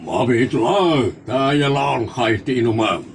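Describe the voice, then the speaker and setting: A man's voice: mid-range voice, lively intonation, slow pace, noisy background. A man playing a reluctant, grumbling fellow in an Ilocano comedy drama, with an Ilocano accent and a hesitant, drawling delivery.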